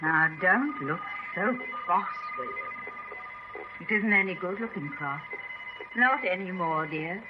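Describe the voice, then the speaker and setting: An actress playing a doting, quietly vengeful wife in a radio drama, cooing deliberately with baby-talking condescension. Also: female voice, lowish voice, animated. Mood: gloating, menacing. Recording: muffled, very noisy old radio recording.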